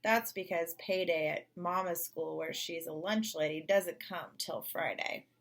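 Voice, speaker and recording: A woman narrating a children's story in an expressive read-aloud style. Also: fairly low pitch, measured pace. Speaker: storybook reader.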